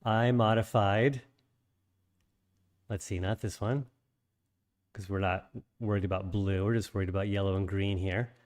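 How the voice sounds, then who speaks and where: A man explaining a coding workflow in a screen-share tutorial, his voice slightly raspy after being sick, with frequent ums and restarts. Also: lowish voice, fairly even intonation, moderate pace, pauses often, darkish tone. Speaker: programming instructor.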